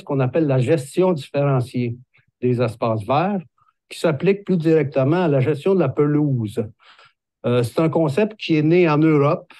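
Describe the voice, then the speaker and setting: A man in his sixties with a Québécois accent, a horticulturist explaining green-space care in a measured, hesitant way with many fillers. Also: a little high, darkish tone, no room sound. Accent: Québécois French. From Quebec. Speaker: horticulturist presenting a video. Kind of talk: explanatory video talk.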